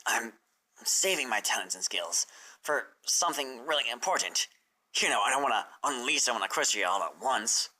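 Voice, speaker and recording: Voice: mid-range voice, expressive, moderate pace, bright, forceful tone, pitched below the performer's own voice. A performer voicing a cocky, defensive young male dragon assistant with a casual, self-important manner. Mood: boastful.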